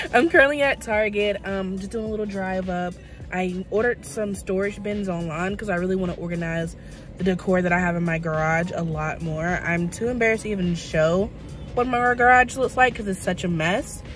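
A young woman vlogging casually to a handheld camera from her car, bubbly and full of "like" fillers. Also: on the high side. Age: young adult. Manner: chatty, bubbly, many "like" fillers. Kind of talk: casual vlog chat to camera. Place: inside a parked car. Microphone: camera held at arm's length.

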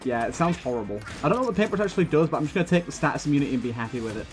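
A man with a slightly high voice hosts a gaming video in a casual chatty style. He grumbles and swears as he goes, speaking over background music. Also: animated, rapid-fire. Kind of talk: casual gameplay commentary.

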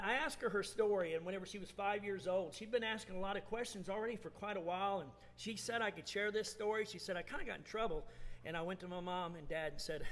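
Fifties male pastor, high-pitched, chatty and jokey, echoey church with faint crowd. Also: a bit bright. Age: 50s. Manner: chatty, joking, enthusiastic. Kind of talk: warm-hearted baptism introduction. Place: church sanctuary, seated at baptistry. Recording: reverberant church with congregation.

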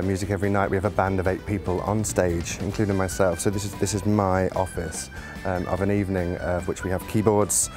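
A man in his forties with a deep, low voice gives a calm, unhurried tour of a theatre band's instruments, explaining each one. Music plays underneath him throughout. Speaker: musical director. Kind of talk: guided tour to camera. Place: theatre stage set, indoors.

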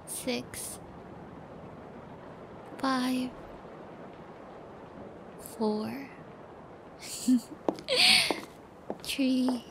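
A high-pitched, slow-talking sadistic psychopath. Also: female voice, background noise, full character voice. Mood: playfully menacing. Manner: taunting, gloating, giggly, drawn-out threats. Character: sadistic possessive female psychopath keeping a captive pet. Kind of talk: intimate ASMR audio roleplay.

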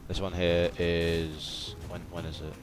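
A young man with a low-pitched voice chats through a video game. He rambles casually and playfully, repeating himself as he comments. Game music is clearly audible behind him. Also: flat delivery, takes it slowly. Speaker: gaming video host. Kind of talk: casual let's-play commentary. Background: video game music and sounds.

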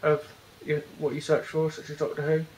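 Flat-voiced British man, hesitant tech walkthrough. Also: a little high, moderate pace, a little hiss or hum, poor audio. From Britain. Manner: hesitant, many fillers and restarts. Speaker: tech reviewer. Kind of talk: casual hands-on product walkthrough. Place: indoors, bedroom.

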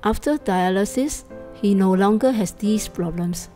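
A woman's voice: mid-range voice, a little slow, warm tone, faint music behind. A woman caregiver describing care tasks in a matter-of-fact way. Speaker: caregiver, wife. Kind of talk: interview testimony.

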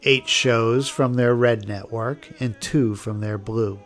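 A man's voice: medium pitch, steady tone, takes it slowly. An American man reading scripted documentary narration.

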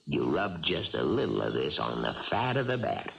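An actor playing a crafty, middle-aged American baseball manager in a radio drama, folksy and coaxing. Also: male voice, rumbling low, very animated, moderate pace, gravelly, heavily acted. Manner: folksy, persuasive. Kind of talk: 1970s radio drama acting. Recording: dull, muffled old radio recording.

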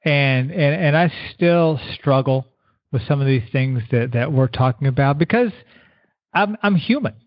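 A middle-aged man, a keynote speaker guest giving earnest motivational advice, repeating words and slowing down to stress his points. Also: mid-range voice, dry recording. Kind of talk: motivational interview answers. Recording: dull lo-fi radio sound.

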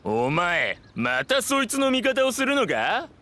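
A man playing a hot-tempered bullying thug in a Japanese game dub, rough, mocking and threatening. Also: high voice, huge pitch swings, measured pace, sharp tone, slight background noise, heavily acted. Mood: menacing. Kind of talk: Japanese anime-style game dub acting.

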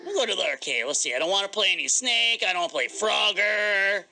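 A woman's voice: mid-range voice, lively intonation, quick pace, creaky, bright, forceful tone. A woman voicing a jumpy, easily panicked animatronic fox in a game roleplay, frantic and repeating phrases. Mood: chatty. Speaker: player in a Minecraft roleplay video. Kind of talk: improvised roleplay acting.

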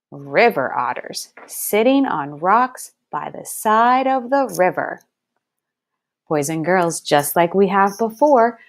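Slow-paced woman teaching young children. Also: medium pitch. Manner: deliberate, clearly enunciated, instructive. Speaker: first-grade teacher. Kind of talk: read-aloud lesson for young children.

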